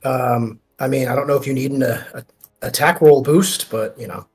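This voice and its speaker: A man's voice: medium pitch, moderate pace, darkish tone, breathy edge. A man playing a tabletop game, casually explaining rules and tactics. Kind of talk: casual game strategy talk. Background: music.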